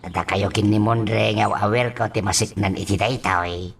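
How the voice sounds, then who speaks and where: An actor with an Ilocano accent playing a fretful, fumbling older village father in a radio drama, halting and stammering. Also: fairly low pitch, fairly even intonation, vocal fry, breathy edge. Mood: apologetic, pleading. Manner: halting, stammering, full of exclamations and a repeated catchphrase. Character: fretful, fumbling old village father with a repeated verbal tic.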